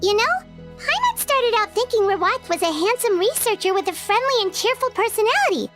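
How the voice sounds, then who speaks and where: A woman voicing a small, childlike fairy companion in a video game, chirpy and cheeky with a squeaky tone. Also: sing-song, fairly quick, music in the background. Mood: musing. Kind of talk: video game character acting.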